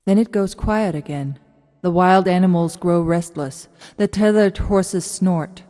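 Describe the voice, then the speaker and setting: A woman's voice: on the low side, a little slow, mellow. A woman narrating a radio drama, reading out scene directions from a script. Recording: long hall reverb.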